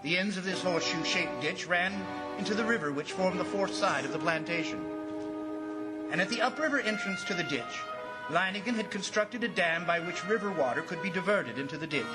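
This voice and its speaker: An actor playing an anxious, fascinated commissioner who narrates a jungle ordeal in old-time radio drama style, dramatic and halting. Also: male voice, high-pitched, lightly acted, rough recording. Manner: dramatic, hesitant and halting under worry.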